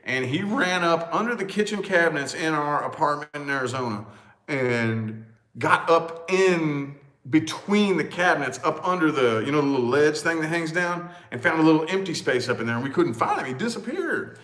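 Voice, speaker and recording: A man with a loud, booming voice, talking in a casual, animated chat with exclamations and swearing. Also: normal speed. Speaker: vlog co-host. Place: large echoey house. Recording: echoey room.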